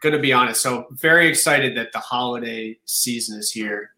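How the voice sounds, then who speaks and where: A man's voice: mid-range voice, moderate pace, bright, forceful tone, roomy sound. A man co-hosting a podcast in casual chat, congested and nasal, playful and wry. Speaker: podcast co-host.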